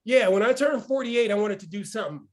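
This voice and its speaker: A man's voice: high-pitched, quick pace. A man around fifty hosting a morning motivational session, full of energy and often checking in with "right?". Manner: energetic, keeps asking "right?". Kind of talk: motivational talk.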